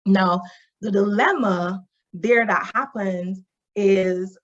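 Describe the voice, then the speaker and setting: A woman's voice: medium pitch, takes it slowly. Woman, Barbadian accent, social worker, webinar presentation, explanatory, slightly muffled call audio. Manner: explanatory, often adds "right?" and "you know". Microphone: video call.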